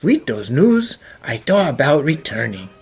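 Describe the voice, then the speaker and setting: A woman voicing an earnest, remorseful male chef and devoted father in a cartoon fan dub, halting and emotional. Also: sits low, big pitch swings, a little mellow, breathy edge, faint music behind, full character voice. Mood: regretful. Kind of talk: fan-dub character acting, dramatic storytelling.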